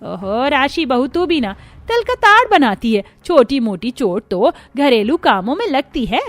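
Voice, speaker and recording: Woman voicing a brusque, high-pitched mother-in-law. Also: very animated, unhurried, no room sound. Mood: irritated, dismissive. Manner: brusque, scolding. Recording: music bed under the voice.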